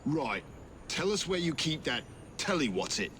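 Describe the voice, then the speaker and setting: A man playing a gruff, slow-witted, bullying gang heavy in a video game, with a Cockney accent. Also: a little high, big pitch swings, a bit bright. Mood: threatening. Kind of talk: video game cutscene acting. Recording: street noise behind.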